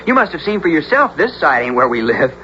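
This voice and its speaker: An excitable teenage country boy in an old radio adventure. The voice is animated and folksy, bold and protective, on a muffled recording with clear hiss. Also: medium pitch. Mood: determined. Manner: folksy drawn-out rural phrasing. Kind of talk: 1970s radio drama acting and narration.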